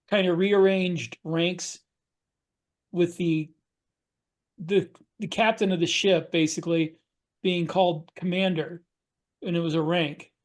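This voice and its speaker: High-voiced middle-aged man, reflective and halting. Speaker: podcast co-host and science fiction author. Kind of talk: casual panel discussion. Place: home study.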